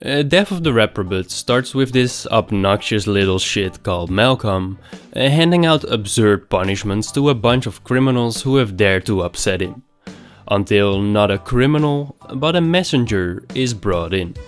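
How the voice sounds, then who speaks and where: A man's voice: lowish voice, lively intonation, normal speed, faint music behind. A young man rambling casually through a game review, nasal from a recent cold, swearing offhandedly.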